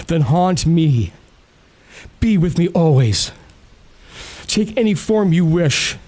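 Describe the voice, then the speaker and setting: A man's voice: fairly high pitch, warm tone. A man playing a bitter, passionate, grieving lover in a melodramatic audio drama, tormented and intense. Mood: desperate grief. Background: dramatic music.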